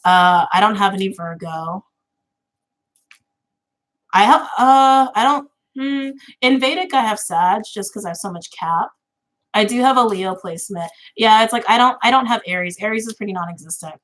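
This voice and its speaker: Woman, late twenties, livestream host; relaxed chatty delivery, filler-heavy, laughs easily, mid-range pitch. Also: moderate pace. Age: 20s. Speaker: tarot channel host. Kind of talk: casual livestream chat.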